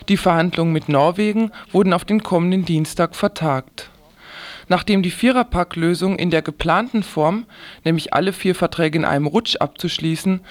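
A man reading scripted news on the radio. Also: high voice.

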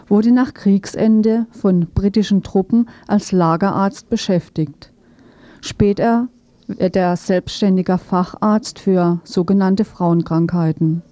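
Mellow woman reading a radio feature. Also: mid-range voice. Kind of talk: scripted news feature narration, read aloud. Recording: dull, roomy lo-fi radio recording.

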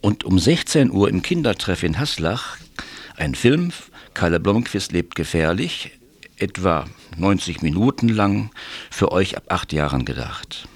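A man's voice: low-pitched, big pitch swings, measured pace, slightly rough, faint music behind. A man reading event listings on the radio in an informal, chummy way, addressing listeners directly.

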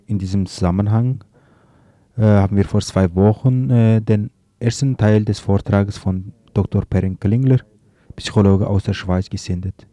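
A man presenting on the radio, introducing a broadcast in a measured, factual way. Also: fairly low pitch, mellow, dry recording. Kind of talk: programme introduction.

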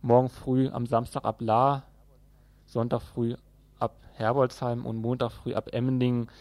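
Male community-style radio announcer, informal, medium pitch, close dry sound. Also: flat delivery, moderate pace, a little mellow, no room sound. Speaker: radio presenter. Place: radio studio.

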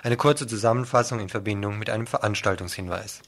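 Low-voiced male radio news presenter. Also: quick pace, fairly bright tone. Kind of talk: scripted radio news reading.

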